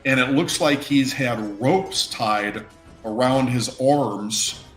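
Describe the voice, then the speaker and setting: A man works as game master, narrating slowly in a roomy, echoey space. He feels his way through the scene out loud and swears casually, with music playing underneath. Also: medium pitch. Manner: halting, thinking aloud, casually profane. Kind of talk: improvised narration of a tabletop game. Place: indoor room on a live stream.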